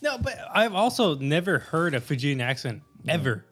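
A man's voice: on the high side, very animated, normal speed, fairly bright tone, husky, faint music behind. Rambling man telling a story. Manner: rambling, explains things with many fillers and laughs. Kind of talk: casual chat among friends.

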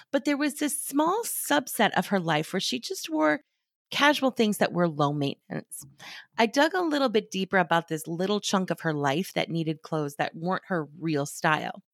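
A woman's voice: mid-range voice, creaky, dry recording, studio quality. Upbeat woman host, slightly scratchy. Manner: upbeat, friendly, chatty. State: a little scratchy after a cold. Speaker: podcast host, stylist. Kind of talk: scripted podcast talk.

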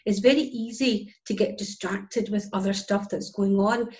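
A woman's voice: mid-range voice, fairly even intonation, moderate pace, darkish tone. Scottish woman, forties, interview guest; earnest, emphatic delivery, muffled lo-fi audio. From Scotland. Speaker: guest, business connector. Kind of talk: casual interview chat. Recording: dull lo-fi audio.

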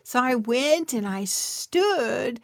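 Slow, soft-spoken woman telling a testimony. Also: fairly high pitch, animated, no echo, studio quality. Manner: soft, intimate storytelling with laughs. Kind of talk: testimony sermon.

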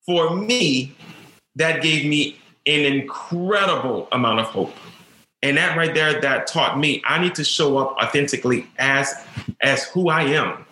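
Teacher, male, stutters and repeats words, earnest, bright tone in a roomy space. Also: on the high side, unhurried. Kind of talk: personal storytelling interview.

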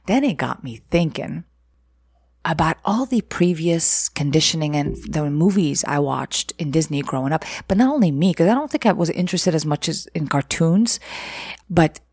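A woman's voice: sits low, animated, unhurried, darkish tone, slightly rough, no room sound, clean studio sound. A woman from Egypt talking casually to her viewers, candid and opinionated, rambling with restarts, rhetorical questions and laughing asides. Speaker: vlogger.

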